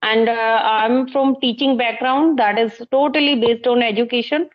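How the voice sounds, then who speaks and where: Indian teacher lady chatting, kinda high voice, lots of uh pauses, dull lo-fi audio. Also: fairly even intonation. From Haryana, northern India. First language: Hindi. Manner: hesitant, many uh fillers. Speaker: teacher and channel host. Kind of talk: casual conversation practice.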